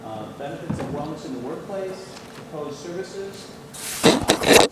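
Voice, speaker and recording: A loud-voiced middle-aged man giving a business presentation at a meeting, with frequent fillers and "okay?" check-ins to the room. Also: fairly high pitch, lively intonation, measured pace, very noisy. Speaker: wellness program presenter. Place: school district meeting room.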